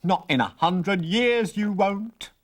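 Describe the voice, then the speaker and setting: A storyteller voicing a sharp, eager young farm boy with quick, cheeky retorts and a husky voice. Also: medium pitch, pitched above the performer's own voice. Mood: proud.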